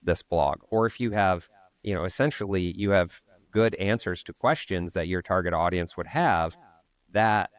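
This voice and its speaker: A man's voice: low-pitched, no room sound. A man co-hosting a casual marketing podcast, explaining things step by step as he thinks aloud. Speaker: podcast co-host.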